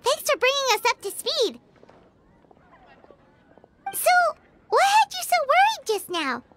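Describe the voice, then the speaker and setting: Game sidekick: tiny blunt fairy, very high and bouncy, talks about herself in third person. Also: female voice, wildly swooping pitch, slow pace, heavy background noise, full character voice. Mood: curious. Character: tiny floating female fairy companion, chatty and blunt. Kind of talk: video game voice acting.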